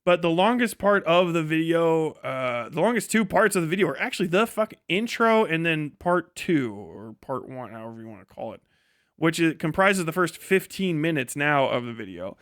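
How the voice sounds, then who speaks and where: Casual male streamer, smooth and fairly high-pitched, very dry sound. Sardonic, exasperated, swears constantly, rambles freely. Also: very animated, moderate pace, fairly bright tone, dry recording. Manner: heavily profane. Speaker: livestreamer and video creator giving a work update. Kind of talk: casual rambling livestream chat.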